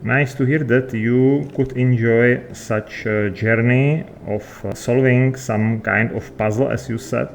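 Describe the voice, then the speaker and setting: A man's voice: mid-range voice, steady tone, slow, gravelly, few pauses, a little hiss or hum. A man with a non-native English accent chatting unscripted in character in a video game, hesitant and searching for words. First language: not English. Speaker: game player.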